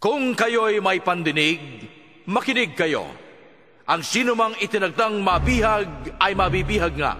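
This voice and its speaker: A solemn male prophetic voice, high and grave, declaims to the faithful as in a Bible audio drama. Long reverb surrounds him and music plays behind. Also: expressive, measured pace, pushed and bright, full character voice. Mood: grave exhortation.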